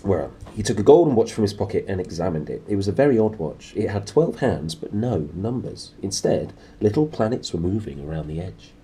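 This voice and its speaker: A man's voice: lowish voice, very animated, brisk, few pauses, mellow, a little hiss or hum. A man with a sniffly nose reading a book expressively, with casual asides. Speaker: storyteller and reader.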